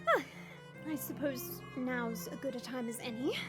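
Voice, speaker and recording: Woman as a gentle, high-voiced dragon princess. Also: animated, normal speed. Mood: formal, resigned. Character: composed, kindly young dragon princess in altered form. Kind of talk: audio roleplay drama acting. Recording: music behind the voice.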